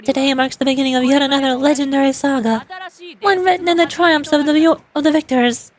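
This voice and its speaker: A woman's voice: high-pitched, fairly even intonation, a little fast, lightly acted. A woman voicing a bold, confident female warrior leader from a video game, speaking in an assured, rallying and playfully teasing manner. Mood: rallying, proud. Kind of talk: dramatic voice-over of video game dialogue. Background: music.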